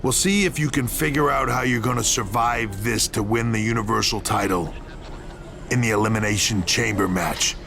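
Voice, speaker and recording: An actor playing a menacing, smug wrestling boss who taunts in a deliberate promo speech. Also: male voice, fairly low pitch, creaky, a bit bright. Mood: smug threat. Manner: slow, taunting, deliberate pauses. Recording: hall echo, faint background noise.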